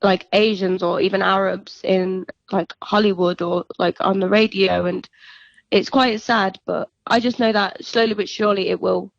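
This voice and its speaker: A woman's voice: mid-range voice, fairly even intonation, normal speed, gravelly, no room sound. Young woman musician chatting in a phone interview, reflective, muffled lo-fi line, dry. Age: young adult. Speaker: singer-songwriter being interviewed. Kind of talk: casual, reflective interview chat.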